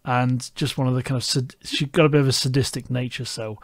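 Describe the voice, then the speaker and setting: A man's voice: medium pitch, fairly even intonation, brisk, dry recording. Scouse man hosting a podcast, dry sound. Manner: rambling, many restarts and fillers. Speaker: podcast host. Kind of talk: casual interview chat.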